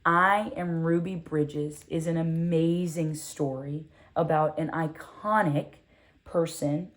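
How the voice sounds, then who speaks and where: A woman's voice: low voice, steady tone, slow pace. A woman from Louisiana with an American accent, presenting an earnest, articulate reflection on a children's book. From Louisiana, United States. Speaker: read-aloud presenter.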